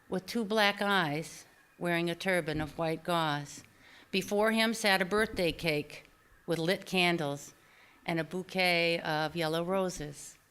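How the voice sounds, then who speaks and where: A woman reading her own personal essay aloud at a live storytelling event, with expressive, deliberate phrasing. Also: on the low side, clean tone. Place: small theater stage, live reading before an audience.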